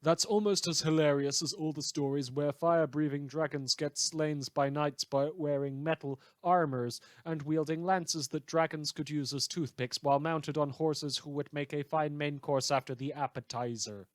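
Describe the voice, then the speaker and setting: A man's voice: on the high side, steady tone, normal speed, few pauses, dry recording, natural voice. A man voicing a sarcastic anonymous citizen in a letter, with dry mock congratulation.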